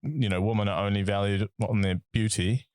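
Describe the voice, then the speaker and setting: A man's voice: lowish voice, steady tone, fairly quick, a little mellow, no echo, studio quality. A man with a New Zealand accent co-hosting a casual music podcast, rambling and swearing with lots of fillers and restarts. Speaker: podcast co-host.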